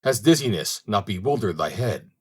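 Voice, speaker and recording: A man's voice: fairly low pitch, expressive, moderate pace, slightly rough. A man voicing Death as a stern, solemn spirit in a storybook, speaking in formal, archaic, commanding phrases. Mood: stern, reproachful.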